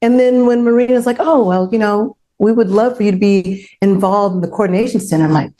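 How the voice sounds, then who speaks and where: A woman's voice: medium pitch, a little fast, warm tone. An outspoken woman hosting an unscripted panel discussion, speaking emphatically and repeating her key phrases. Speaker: outspoken host of an online forum panel. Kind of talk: unscripted panel talk, personal testimony.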